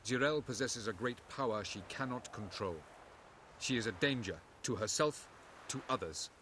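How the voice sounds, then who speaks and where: An actor playing an ancient, stern elf sage in a fantasy game, speaking formally in a measured, condescending way. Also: male voice, mid-range voice, fairly bright tone, slight background noise, natural voice. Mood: stern, disdainful. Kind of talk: video game voice acting.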